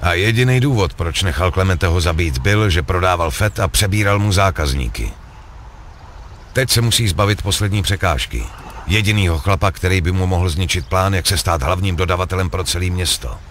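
An actor playing a cool, ruthless gangster in a video game, talking in coarse, persuasive slang. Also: male voice, sits low, a bit bright, a little hiss or hum. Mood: calm, scheming. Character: scheming male gangster pitching a drug deal, cool and ruthless. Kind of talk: acted video game dialogue.

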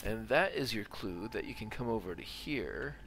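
A man's voice: on the low side, expressive, moderate pace, gravelly, a bit bright, slight background noise. A man giving a casual, explanatory video game walkthrough commentary.

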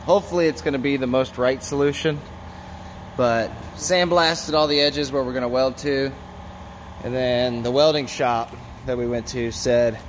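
A young man chatting casually in a garage, explaining his repair plan in a relaxed, matter-of-fact way. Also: mid-range voice, normal speed, a little hiss or hum. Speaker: garage vlogger. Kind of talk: casual chat while working. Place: shop and open garage bay. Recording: music under voice.

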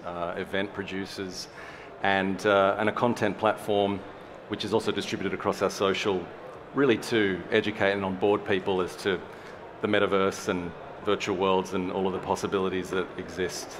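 A man's voice: low voice, little pitch movement, normal speed, background noise. A man in his forties speaking as a panelist on a conference stage through a headset mic, candid and wry. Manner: candid, wry, with a casual swear. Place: large conference hall stage.

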